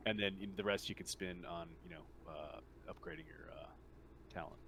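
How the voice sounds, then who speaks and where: A man explaining tabletop game rules casually as game master, in a halting, hesitant way. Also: low-pitched, steady tone, creaky, a bit bright, slight background noise.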